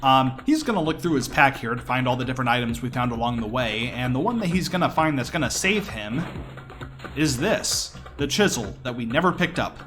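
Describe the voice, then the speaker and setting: A man's voice: mid-range voice, expressive, fairly quick. A man giving casual, explanatory live commentary on a video game as its speedrunner. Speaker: speedrunner commentating own run.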